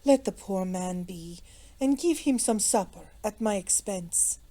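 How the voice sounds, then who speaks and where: A merry, witty young noblewoman from a storybook, performed by a woman. Her speech is musical and faintly sing-song, mellow in tone, with a streak of playful impatience. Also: mid-range voice, animated, measured pace, lightly acted. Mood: kindly commanding. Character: elegant young French-born lady, merry, witty and impatient.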